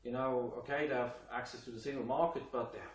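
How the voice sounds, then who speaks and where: A man with a Swiss accent talks straight to camera, earnest and unpolished, filling pauses with "uh" and "um". His pitch sits in an ordinary middle range. He sounds set back from the mic in a roomy, echoey space. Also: steady tone, distant mic. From Switzerland. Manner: earnest, uses many fillers and pauses. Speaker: commentator explaining politics. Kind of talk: direct-to-camera explainer talk.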